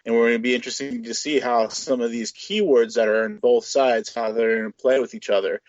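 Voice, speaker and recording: A man with an average-pitched voice talks casually on a hobby podcast, explaining game details conversationally. His audio is very dry and a little muffled. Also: fairly even intonation, a little fast, no room sound.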